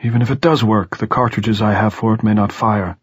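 A man voicing an elderly headmaster who is courtly, grave and resolute, with formal, pedantic, measured diction in an audiobook. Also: lowish voice, fairly even intonation, slightly rough, dry recording, studio quality.

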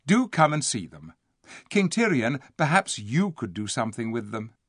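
A man voicing a gentle young girl queen in a storybook reading, earnest and pleading. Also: mid-range voice, big pitch swings, moderate pace, husky, no echo, clean studio sound. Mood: coaxing.

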